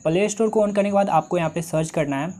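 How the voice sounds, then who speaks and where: High-voiced young Indian man presenting tutorials. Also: quick pace, darkish tone. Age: 20s. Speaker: tech tutorial host. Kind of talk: step-by-step tutorial narration. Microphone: lapel mic.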